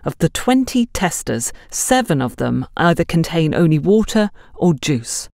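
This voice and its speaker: A stern shop supervisor, as a woman narrator plays her, speaks with sarcasm and accusation. Her voice is animated and dry-recorded, made for an audiobook drama. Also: deep voice, a little slow, vocal fry, no room sound, heavily acted. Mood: stern, accusing.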